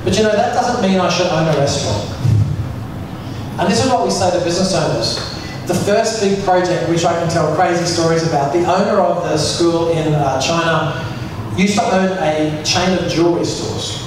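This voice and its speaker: A man in his forties with an Australian accent, giving an animated conference presentation into a handheld microphone in front of an audience. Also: fairly high pitch, normal speed, distant mic, background noise. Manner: animated, gestures while speaking. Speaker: school management executive. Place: large conference room with audience. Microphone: handheld microphone through PA. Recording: echoey hall recorded from afar.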